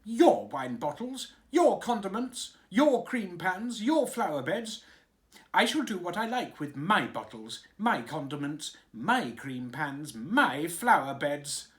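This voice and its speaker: A man voicing a spoiled young king who shouts in a temper tantrum. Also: sits high, big pitch swings, takes it slowly, voice pushed up, heavily acted. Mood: furious.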